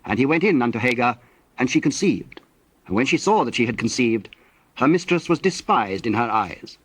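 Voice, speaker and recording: A man narrating scripture from a script in a measured, solemn manner. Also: mid-range voice, expressive, husky.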